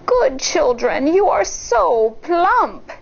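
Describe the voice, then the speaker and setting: A woman voicing a cunning old wolf who poses as a sweet grandmother, coaxing slowly in a sugary way. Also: sits high, very animated, creaky, highs cut off, pitched above the performer's own voice, full character voice. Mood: sweetly sly.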